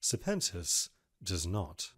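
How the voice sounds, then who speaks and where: A man's voice: low-pitched, huge pitch swings, slow pace, a bit bright, breathy edge, soft background music. A man giving scripted narration for a visual novel story.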